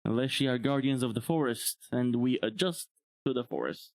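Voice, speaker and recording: Young man, native Bulgarian speaker, relaxed streamer chatter, mid pitch, dry close mic. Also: normal speed, no room sound. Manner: relaxed, rambling, chuckles often. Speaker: VTuber streamer. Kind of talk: casual live-stream chat.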